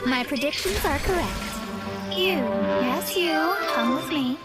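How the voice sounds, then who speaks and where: A woman's voice: high voice, lively intonation, measured pace. A woman playing a haughty young female astrologist in a video game, speaking in a pompous, formal way. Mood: haughty, self-assured. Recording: game audio under loud music.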